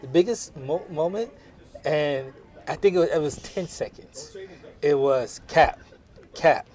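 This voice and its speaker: Male panelist, slightly high voice, calm and reasoned, a few false starts. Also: a little slow. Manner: polite, reasoned, stumbles on starts. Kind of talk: casual panel discussion.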